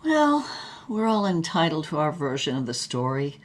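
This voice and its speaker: A woman's voice: sits low, sing-song, moderate pace. A woman playing a worldly, tough, glamorous heartbreaker, recounting her past in a wry, sardonic, unflappable way for audio drama. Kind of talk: dramatic first-person storytelling in audio fiction.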